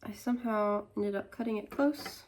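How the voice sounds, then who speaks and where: A woman narrating her small business vlog, stuffy and congested, walking through her work in a soft, unhurried way. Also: mid-range voice, slight background noise. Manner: slow, soft-spoken walkthrough.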